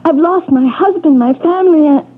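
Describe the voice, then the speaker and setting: A woman's voice: high-pitched, normal speed, lightly acted. An actress playing an anxious, desperate woman who stammers and hesitates in a radio drama. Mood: distressed. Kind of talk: 1970s radio drama acting. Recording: tinny old radio broadcast.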